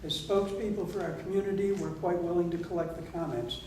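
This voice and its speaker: Man, town council official running a meeting, slightly high voice, measured and formal, recorded in a very echoey room. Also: darkish tone. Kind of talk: reporting at a public meeting. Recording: echoey meeting hall, distant mic, noisy.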